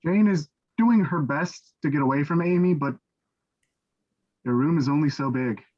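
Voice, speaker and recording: A man's voice: a little high, normal speed, a little mellow. A man reading scripted stage directions as the narrator of a staged play reading, on a lo-fi recording.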